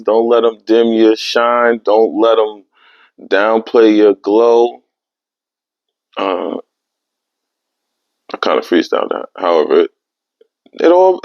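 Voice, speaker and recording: Young man, podcast host, casual streetwise monologue, low and gravelly, dry close sound. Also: dry recording. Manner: animated, streetwise slang, repeats "you know what I mean". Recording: dry, slightly dull lo-fi sound.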